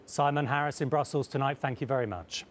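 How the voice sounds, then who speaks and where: A man presenting the news in the studio, crisp and formal. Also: medium pitch, fast. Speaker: news anchor.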